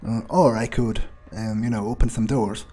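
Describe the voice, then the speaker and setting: A man doing an improvised in-character gameplay monologue, thinking aloud in a halting, musing way. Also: fairly low pitch, steady tone, warm tone. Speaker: gamer playing in character.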